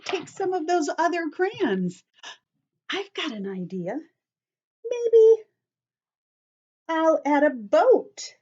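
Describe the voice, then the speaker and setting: A woman teacher talks young children through a calm-down drawing activity, slow and unhurried, her pitch swinging up and down as she encourages them. The sound is dull and lo-fi, like a home video recording. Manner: gentle, encouraging, talks directly to kids.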